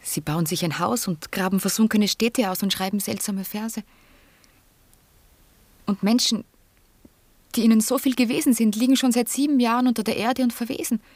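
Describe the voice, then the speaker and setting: A woman's voice: a little high, normal speed, pauses often, darkish tone, no room sound, close to an everyday voice. A woman playing an earnest, brooding young lady in a German radio drama, with intense, wistful, literary phrasing.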